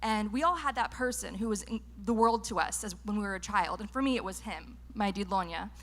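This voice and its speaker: A woman's voice: medium pitch, fairly quick, a bit bright. A woman from Northern California with an American accent, giving an earnest conference talk on a handheld microphone, with frequent ums and restarts. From Northern California, USA. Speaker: public speaker. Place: lecture venue.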